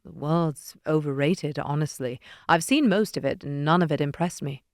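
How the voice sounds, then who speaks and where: A woman voicing a calm, possessive man of power with a dry, deadpan delivery, in an audiobook. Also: low voice, normal speed, no echo, pitched below the performer's own voice, lightly acted. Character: brooding, possessive ruler man, coolly dry.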